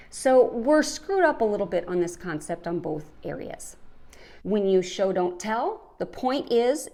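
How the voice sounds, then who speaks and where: A woman's voice: medium pitch, darkish tone. A woman hosting a podcast, giving an emphatic lesson on storytelling. She asks questions and works through examples. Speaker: podcast host and writing teacher.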